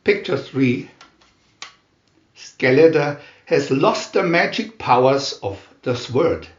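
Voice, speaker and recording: A man reading a storybook aloud as dramatic narration, in a theatrical, deliberate manner with emphatic announcements. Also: medium pitch, animated, roomy sound, lo-fi sound. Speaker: reader of picture-reel story booklets.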